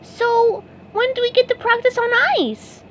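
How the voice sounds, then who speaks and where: A woman voicing an eager, cheerful young male sea sponge in a storybook reading, high and excited. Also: a little slow, slight background noise, rough recording.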